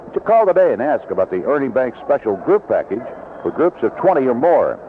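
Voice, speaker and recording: An American man calls a baseball game in the style of 1970s radio, with short, clipped phrases. His pitch is mid-range and swings in a lively way. The sound is narrow like an old AM set, with clear noise around him. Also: fairly quick. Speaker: baseball play-by-play announcer. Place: outdoor ballpark broadcast booth. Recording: dull, noisy vintage AM radio broadcast.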